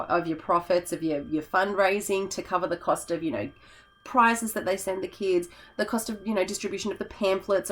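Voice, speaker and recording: Hoarse, chatty woman. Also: lowish voice. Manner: chatty, rambling, with fillers and self-corrections. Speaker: teacher and YouTuber. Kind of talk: casual vlog chat.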